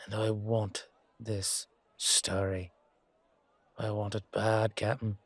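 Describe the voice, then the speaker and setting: A man playing a weary, down-to-earth knight guardsman in a fantasy audio drama, musing colloquially to his partner with hesitant pauses. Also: on the low side, flat delivery, no room sound. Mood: resigned, intrigued. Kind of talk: roleplay audio drama monologue addressed to a partner.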